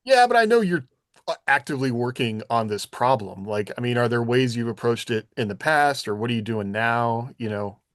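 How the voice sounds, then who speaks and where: A man's voice: mid-range voice, lively intonation, normal speed, no room sound, clean studio sound. A man co-hosting a podcast in an informal, questioning way, chatting casually with his colleagues. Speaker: podcast co-host.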